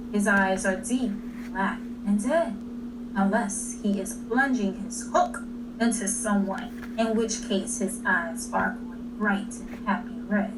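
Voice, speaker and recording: A woman reading a children's story aloud in an expressive, deliberate way, leaning on key words. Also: on the high side. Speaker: storybook reader. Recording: distant mic with clear background noise.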